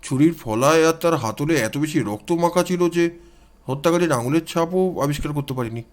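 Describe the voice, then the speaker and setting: A man acts out a police inspector in an audio story, courteous and troubled. He explains matters politely in a fairly high male voice. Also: normal speed, natural voice. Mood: explaining.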